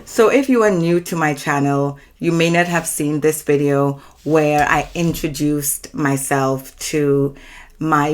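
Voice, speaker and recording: A Trinidadian woman in her forties hosts her own channel and tells a personal story in a measured, earnest way. Her voice sits low, and she explains each point with care. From Trinidad and Tobago. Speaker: channel host.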